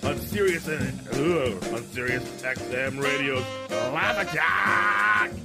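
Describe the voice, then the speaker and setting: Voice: medium pitch, slow pace, creaky. A man reading a punchy radio show promo. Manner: punchy announcer delivery. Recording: promo over loud music.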